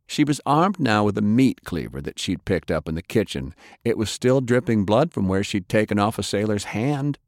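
A man's voice: on the low side, animated, moderate pace, hardly any pauses, dry recording, clean studio sound. A man narrating action fiction as an audiobook, in a dramatic scripted style.

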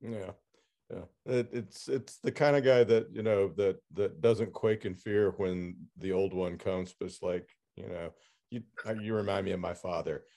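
A man hosting a podcast interview, chatting casually in an informal, somewhat halting way. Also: deep voice, steady tone, creaky, dark tone.

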